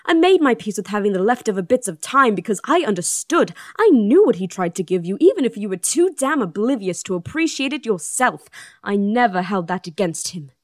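A woman's voice: mid-range voice, big pitch swings, a little fast, no echo, studio quality, close to an everyday voice. A woman playing a fiery, grieving young princess and protective twin sister in an audio drama. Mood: indignant. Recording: music under the voice.

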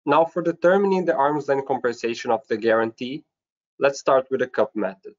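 Man, mid-pitched voice, formal webinar presenter, scripted delivery, methodical enumeration, dull lo-fi call audio. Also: fairly quick.

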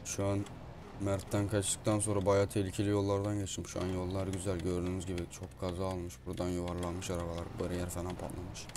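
Man gaming, low voice, noisy mic. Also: monotone, fast. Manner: swears freely. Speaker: video host playing a roleplay game. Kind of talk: improvised comic gameplay commentary. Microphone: gaming mic at a computer. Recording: constant noise with keyboard and mouse clicks.

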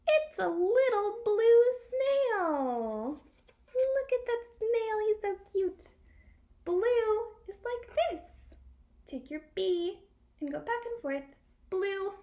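A young woman reading a picture book aloud for small children and teaching signs, in an animated, exaggerated sing-song manner. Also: very high-pitched. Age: young adult. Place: small room at home by a window.

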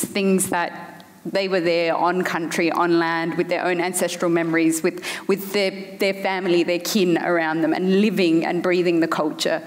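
Panel guest, woman in her thirties, headset mic on a stage, measured and reflective, lowish voice. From Afghanistan. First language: Farsi. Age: 30s. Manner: thoughtful, many "um" pauses. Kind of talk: panel discussion. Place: large hall on stage. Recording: long hall reverb.

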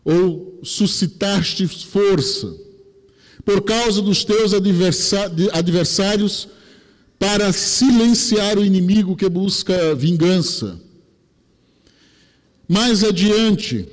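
A middle-aged man with a Brazilian accent preaching a sermon as a pastor, emphatic and explanatory, on a headset mic in a church. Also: high-pitched. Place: church pulpit, indoors. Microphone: headset microphone. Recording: long hall reverb.

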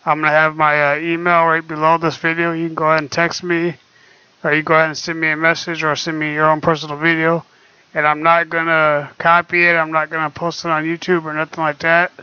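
A young man talking quietly and hesitantly with many fillers, recorded on his laptop microphone at home. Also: on the high side, clear, resonant tone, no room sound. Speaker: vlogger. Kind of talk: casual screen-recorded talk. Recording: dull lo-fi laptop mic.

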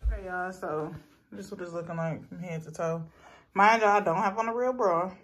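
A woman in her thirties chatting casually to camera in a vlog from a small bedroom, relaxed and talkative. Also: fairly low pitch, fairly quick. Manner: relaxed, chatty, uses lots of "y'all". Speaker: vlogger.

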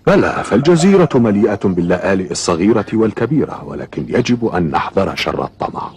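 A man voicing a grave storytelling father in an Arabic cartoon dub, with measured, formal classical diction. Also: fairly low pitch, big pitch swings, a little mellow. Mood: cautioning.

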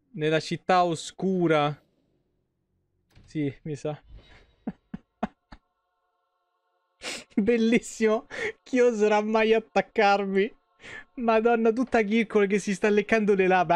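A man's voice: high-pitched, no echo. A man in his thirties giving casual, joking, foul-mouthed live commentary on a game. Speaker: game streamer. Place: small indoor streaming room at home. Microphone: close streaming microphone.

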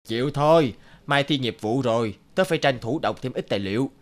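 A man's voice: medium pitch, animated, a little fast, lightly acted. A man voicing a sensible, nagging young office colleague in a cartoon, earnest and chiding. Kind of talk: cartoon voice acting.

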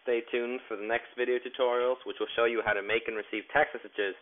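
A man narrating a step-by-step tech tutorial in a plain, instructional way with halting phrasing. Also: medium pitch, fairly even intonation. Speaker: tutorial presenter. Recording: tinny, narrow phone-like sound.

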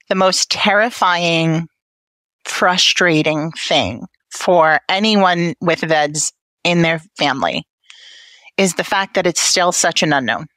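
A woman's voice: fairly low pitch, bright, forceful tone, dry recording. A woman with a Brooklyn accent speaking candidly and wryly in a personal interview. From Brooklyn, New York, United States. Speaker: interview guest, patient family advocate.

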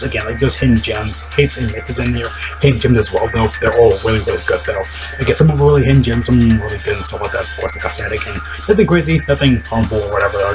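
A young man giving casual mobile game tips from a small room on a webcam, rambling and repeating himself, his voice a little deep and strained. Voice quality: voice a bit deep and strained today. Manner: rambling, repetitive, upbeat. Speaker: mobile game content creator. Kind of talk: casual game guide commentary. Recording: background music throughout.

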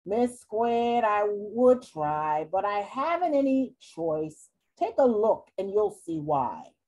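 A woman's voice: medium pitch, big pitch swings, slow, darkish tone, low-quality recording. A woman voicing a glum, resigned male fish who mopes through a children's storybook. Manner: mopey, resigned.

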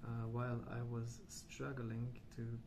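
A man speaking softly and hushed in an unhurried, halting ASMR ramble, often trailing off. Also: mid-range voice, completely flat delivery. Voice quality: soft, hushed. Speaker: ASMR video host. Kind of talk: soft-spoken ASMR rambling. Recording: clear background noise.

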